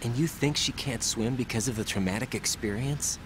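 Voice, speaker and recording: A man's voice: mid-range voice, moderate pace, background noise, natural voice. An actor playing a young rookie agent, earnest and impatient, questioning his allies in a video game cutscene. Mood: concerned. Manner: questioning, emphatic.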